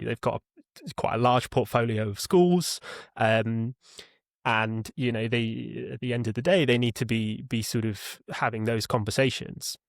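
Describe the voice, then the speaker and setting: A man's voice: medium pitch, vocal fry, no echo. Man explaining conversationally in a dry recording. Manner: halting, with repeated words and self-corrections. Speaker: podcast guest, customer support leader.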